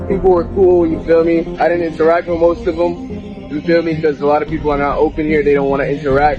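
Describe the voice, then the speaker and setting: A man venting casually to camera in heavy slang, in a dull-sounding recording. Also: a little high. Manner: slangy, keeps saying "bro" and "you feel me".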